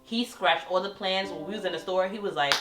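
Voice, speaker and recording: A young woman with a lowish voice tells a lively story and acts out the quotes. She sits in an echoey room with music underneath. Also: fairly quick. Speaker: co-host. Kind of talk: casual couple chat.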